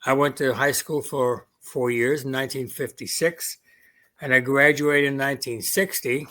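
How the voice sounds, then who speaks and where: A man's voice: medium pitch, fairly even intonation, normal speed, pushed and bright. A man in his eighties with a Queens New York accent, German-born with German as his first language, reminiscing in a casual interview and quoting his family's words. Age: 80s. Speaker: veteran guest.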